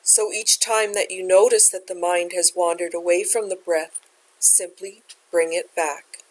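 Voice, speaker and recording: Woman gently guiding a meditation. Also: on the low side, steady tone, measured pace, poor audio. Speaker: meditation teacher. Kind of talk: guided meditation instruction.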